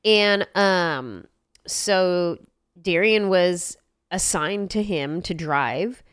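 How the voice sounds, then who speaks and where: A woman with a stuffy, congested voice hosts a podcast from a studio, talking casually and spinning stories with wry, self-mocking asides. Her voice sits on the low side and stays smooth and clear. The studio mic sounds close and dry, with little room sound. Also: drawn-out words, no room sound. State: congested with a cold. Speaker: podcast host. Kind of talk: casual chat.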